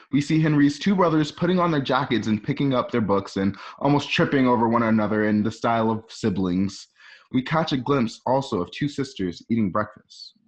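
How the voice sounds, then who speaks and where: Mid-pitched man narrating a radio drama. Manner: conversational, with casual asides. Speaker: narrator reading stage directions.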